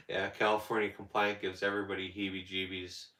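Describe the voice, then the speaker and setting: Deep guy chatting w his stream viewers, kinda bright tone, roomy echo. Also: little pitch movement, normal speed. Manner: rambling, talks back to chat comments. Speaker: livestream host. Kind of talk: casual livestream chat with viewers.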